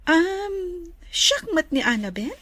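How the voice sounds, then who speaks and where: A bossy, wealthy madam in an Ilocano radio drama. Her high voice is lively and slow, stretching words imperiously. The accent is Ilocano and the sound is dry. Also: no echo, full character voice. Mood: polite.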